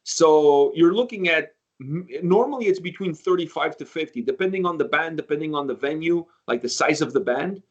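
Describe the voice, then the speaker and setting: A man's voice: fairly high pitch, expressive, normal speed, hardly any pauses, highs cut off. A man from Canada hosting a casual interview, chatty and rambling with plenty of filler words.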